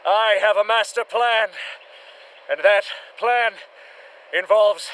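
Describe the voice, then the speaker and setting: A man's voice: high-pitched, fairly even intonation, takes it slowly, a bit bright, smooth. A man playing a boastful, pompous big-game hunter in a radio play. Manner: pompous, theatrical, self-praising.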